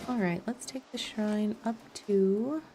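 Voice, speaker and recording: A woman's voice: mid-range voice, unhurried, over music. A woman streamer giving casual live gameplay commentary, chatty and thinking aloud as she goes. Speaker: game streamer.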